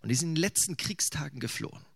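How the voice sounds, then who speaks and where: A man's voice: a little high, animated, quick pace, vocal fry, fairly bright tone, slightly rough, no echo, clean studio sound. A man from eastern Germany preaching in a conversational, musing way, with casual asides. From Thuringia, eastern Germany. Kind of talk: sermon.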